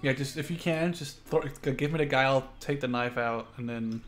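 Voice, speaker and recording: A man's voice: mid-range voice, moderate pace, fairly bright tone. A man talking casually with teammates while playing a video game. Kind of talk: casual co-op gaming chat.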